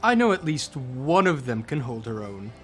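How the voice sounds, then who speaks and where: A man's voice: mid-range voice, very animated, moderate pace, natural voice. A man playing a wry, wary young adventurer with casual, teasing talk in a tabletop radio play.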